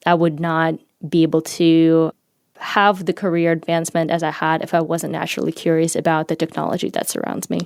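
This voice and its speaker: A young woman from Ukraine, a native Ukrainian speaker, speaking as a podcast guest in a measured, reflective manner. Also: low-pitched, little pitch movement, creaky, darkish tone, clean tone, dry recording, clean studio sound. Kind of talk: casual interview talk.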